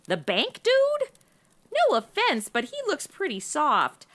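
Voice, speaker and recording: A woman playing a sassy, sarcastic modern American young woman in a comedy audio drama, speaking in animated slang. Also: high voice, takes it slowly, full character voice. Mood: excited, silly. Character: sassy, bored modern young woman stuck in Old West.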